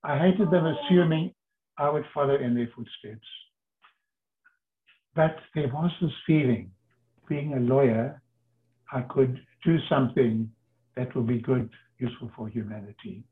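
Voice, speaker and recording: An older man from South Africa, a lawyer, telling his story in an earnest, measured way with pauses. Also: medium pitch. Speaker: interviewee, lawyer. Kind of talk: reflective storytelling. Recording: tinny phone-like line.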